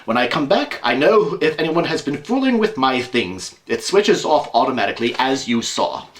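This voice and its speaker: Man acting as wry detective, self-assured, theatrical, emphatic, very lively intonation, audio drama. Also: mid-range voice, normal speed, a little hiss or hum, close to an everyday voice. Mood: brisk, amused.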